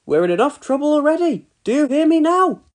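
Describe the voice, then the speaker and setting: A woman's voice: sits high, big pitch swings, fairly quick, voice pushed up. A woman reading a storybook, voicing a doting, flustered mother who coos and frets. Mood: cooing. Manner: cooing, fretful.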